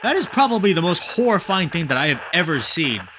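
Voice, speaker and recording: A man giving casual let's-play commentary as a streamer, thinking aloud in an animated, sweary way. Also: a little high, fast, background noise. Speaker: streamer reading a visual novel aloud. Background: game music.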